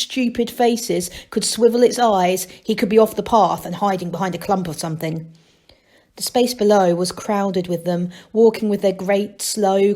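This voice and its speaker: A woman reading a children's novel aloud as a storyteller, in expressive scripted narration. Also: mid-range voice.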